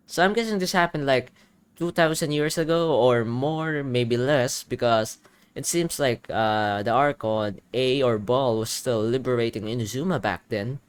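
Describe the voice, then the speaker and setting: A man's voice: medium pitch, measured pace, clean tone, no room sound. A man doing casual reaction commentary as he plays through a game's story. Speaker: gamer reacting to a story quest. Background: soft game music.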